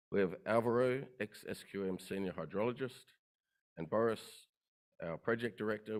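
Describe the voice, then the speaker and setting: A man delivers a conference pitch in a lowish voice. He moves briskly through rehearsed lists of numbers and points. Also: darkish tone. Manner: brisk, rehearsed, listing facts. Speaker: company presenter. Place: conference room.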